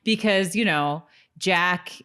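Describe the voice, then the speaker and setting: A woman co-hosting a podcast, chatting casually about a TV episode in an animated, jokey way. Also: lowish voice, slow, smooth. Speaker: podcast co-host. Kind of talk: casual TV episode recap chat.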